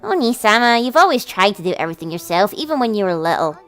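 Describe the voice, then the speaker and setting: A woman voicing a precocious, devoted little sister from a visual novel, with a soft, polite, doting manner. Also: mid-range voice, sing-song, quick pace, soft background music. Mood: doting, teasing. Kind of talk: visual novel character voice in a let's play.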